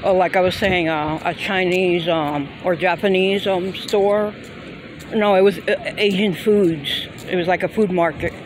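A woman's voice: fairly low pitch, steady tone, a little slow, slight background noise. A woman vlogging on a handheld phone as she walks from a parking lot into a Halloween store, rambling and hesitant with many fillers. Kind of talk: casual walk-through vlog. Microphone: handheld phone camera. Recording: loud music playing behind. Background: loud store music.

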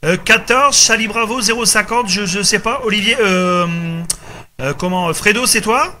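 A man's voice: high voice, moderate pace, noisy background. A man chatting casually as a radio operator, often repeating himself. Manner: repeats himself, tag words. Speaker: amateur radio operator running a group contact.

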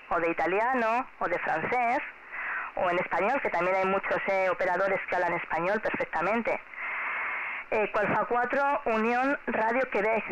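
Spanish woman on a noisy ham radio. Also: medium pitch. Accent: Spanish from Spain. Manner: friendly, encouraging, repeats herself. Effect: two-way shortwave radio transmission. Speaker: amateur radio operator. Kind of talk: casual radio contact chat addressed to a child. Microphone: heard through a radio transceiver speaker. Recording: noisy, muffled amateur radio signal.

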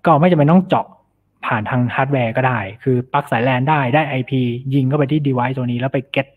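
Man, mid-pitched voice, informal tech talk, explanatory, English jargon mixed in, tinny online-call audio. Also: fairly even intonation, brisk. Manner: explanatory, peppers Thai with English technical terms. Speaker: cybersecurity specialist presenting. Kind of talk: informal technical presentation. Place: online meetup over slides. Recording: thin phone-like online meeting audio.

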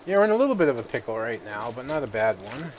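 A man who is feeling a little sick, giving relaxed let's-play commentary and thinking aloud with plenty of fillers. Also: mid-range voice, fast talker, a little hiss or hum. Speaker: gaming video host.

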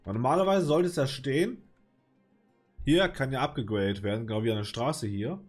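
A man with a slight cold talks through his game in a loose, rambling way, stopping often to hunt for the right spot and swearing under his breath. His voice sits at an ordinary middle pitch. Soft game music plays quietly behind him. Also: a little fast, slightly rough. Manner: rambling, hesitant, lots of filler, mild swearing. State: has a cold, clears throat. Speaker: let's play gamer. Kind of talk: casual gameplay commentary.

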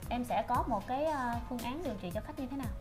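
A woman's voice: on the high side, rapid-fire. A woman with a southern Vietnamese accent coaching a trainee in skincare, instructive and prompting, in a spa treatment room. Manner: instructive, prompting, confirms with short affirmations. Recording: background music over treatment-room speech.

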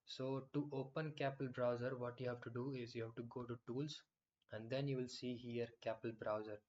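Man with flat, low-key tutorial voice. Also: normal speed, dull audio. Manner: explanatory, many fillers and restarts. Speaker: software tutorial instructor. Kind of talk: screen-recorded software tutorial.

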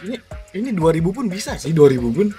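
Male gaming YouTuber, slang, slightly high voice with lively swings, faint music behind. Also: fast talker. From Indonesia. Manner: slangy, exclamatory, repeats words. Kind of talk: casual excited gameplay chat.

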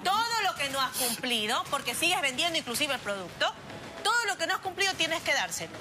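A woman's voice: high-pitched, big pitch swings, a little fast. A woman, speaking firmly and with authority as she arbitrates a televised dispute. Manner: firm, authoritative, interrupting. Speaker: TV arbitration show judge, lawyer. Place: TV studio.

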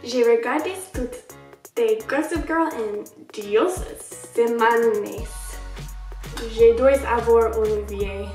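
A woman's voice: a little high, slow, a little mellow. A young woman vlogging from her bedroom, giggling as she stumbles through phrases in other languages. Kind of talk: casual vlog reading phrases aloud. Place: bedroom, indoors. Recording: echoey room, a bit distant, faint music.

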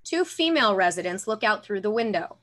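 Young woman narrating a script, medium pitch, reading over video call. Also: very animated, a little fast, fairly bright tone. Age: young adult. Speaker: stage directions reader. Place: room at home, video call.

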